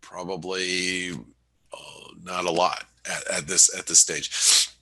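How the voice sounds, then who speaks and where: A man with a low, husky voice that is stuffed up and nasal from a cold. He talks through market levels in a loose, stop-start way, full of fillers and restarts. Under the congestion, his tone stays bright and forceful. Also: fairly even intonation. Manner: halting, many restarts and fillers. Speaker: webinar host giving market analysis. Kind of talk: casual trading commentary.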